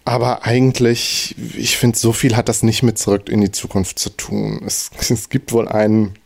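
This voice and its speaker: A man's voice: fairly low pitch, steady tone, normal speed, vocal fry. A man hosting a casual podcast chat, talking in loose, hesitant sentences with many restarts. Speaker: podcast host.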